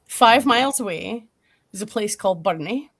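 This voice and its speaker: A woman's voice: medium pitch, animated, moderate pace, a bit bright. A woman with a northeast Scottish accent, chatting on a podcast and explaining history and local dialect. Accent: Scottish, northeast coast. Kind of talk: casual group chat.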